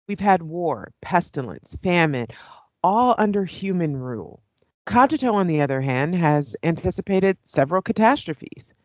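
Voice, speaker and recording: A woman's voice: low voice, no room sound, dull audio. A woman playing a polite, professional current-affairs host in an audio play. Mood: probing, measured. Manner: courteous, professional.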